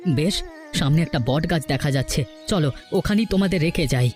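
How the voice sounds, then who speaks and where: Man acting proud, earnest poor village father, higher-pitched. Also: animated, a little fast, darkish tone, faint music behind, natural voice. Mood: reassuring. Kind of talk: Bengali cartoon folk-tale dialogue acting.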